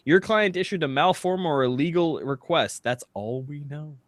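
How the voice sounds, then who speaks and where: American man, slightly high, casual co-host. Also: normal speed, dry recording. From United States. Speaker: podcast co-host. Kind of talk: casual chat, reading text aloud.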